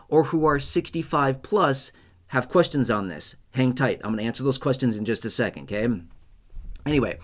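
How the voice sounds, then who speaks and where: A man hosting an explainer video in a casual, conversational way, often repeating and rephrasing points for emphasis. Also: mid-range voice. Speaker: host of a benefits news channel. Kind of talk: casual explainer talk to camera.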